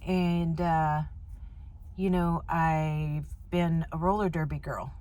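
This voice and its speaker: A woman's voice: deep voice, steady tone, takes it slowly, dark tone. A woman, chatting casually to camera in a van in a rambling way, full of fillers. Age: 50s. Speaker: vlogger. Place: inside a van.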